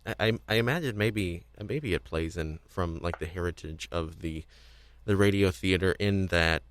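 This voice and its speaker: A man's voice: low voice, normal speed, no room sound. A man hosting a casual discussion show, talking off the cuff in a rambling, hesitant way with repeated words. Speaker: podcast host.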